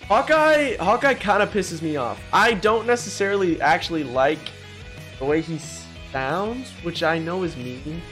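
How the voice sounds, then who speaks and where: A young man giving casual, opinionated, joking commentary as he ranks things in a gaming video. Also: fairly high pitch. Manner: animated, joking, mildly profane.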